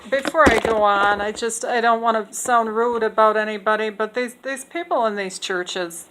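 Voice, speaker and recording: A woman's voice: a little high, fairly bright tone, low-quality recording. A woman explaining scripture passages in an unscripted talk, earnest and hesitant, with many fillers and restarts. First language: English. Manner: earnest, opinionated. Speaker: speaker explaining Bible passages.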